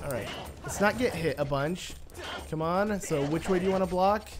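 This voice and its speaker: A man with a slightly high, stuffy nasal voice talks casually while he plays a game. He rambles, gets excited and fills gaps with "like" and "uh". Soft game music plays faintly behind him. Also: normal speed. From United States. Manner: chatty, excitable, rambling with fillers. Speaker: let's play host. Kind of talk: casual gameplay commentary.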